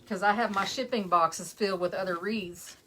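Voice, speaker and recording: A chatty woman with a West Texas twang, talking to her live viewers while she builds a wreath at home. Her voice sits at an everyday middle pitch, with a faint hiss of noise underneath. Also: fairly even intonation, moderate pace, pushed and bright, rough recording. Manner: chatty, greets viewers by name between steps. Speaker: wreath maker hosting a live stream. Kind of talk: casual chat while crafting.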